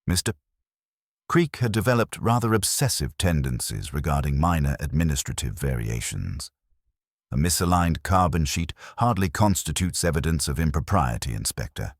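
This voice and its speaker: A man's voice: rumbling low, big pitch swings, moderate pace, no echo, clean studio sound, exaggerated voice. Man reading as a prim, defensive society chairwoman. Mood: guarded, dismissive. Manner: formal, determinedly composed, precise. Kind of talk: storybook mystery character reading.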